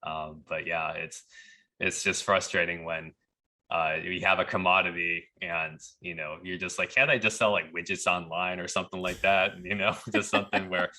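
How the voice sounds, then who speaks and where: A man with a very low, clearly bright voice tells stories in a conversational way as a podcast guest. He often slips into quoted dialogue as he recounts what people said. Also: steady tone, normal speed. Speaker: pharmacist turned leadership coach. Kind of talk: conversational storytelling.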